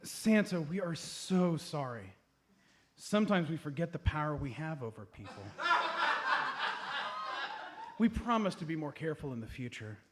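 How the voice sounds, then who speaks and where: A man playing an excitable, earnest boyish hero with an eager, theatrical manner in a live comedy radio play. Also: on the high side, moderate pace, natural voice. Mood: contrite. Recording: live stage recording with background noise.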